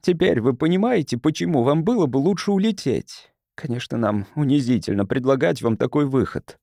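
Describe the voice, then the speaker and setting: A man's voice: medium pitch, lively intonation, brisk, gravelly, dark tone, dry recording, studio quality. A man voicing an anxious, haughty colony councillor in an audiobook, speaking formally with vehement disdain. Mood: alarmed.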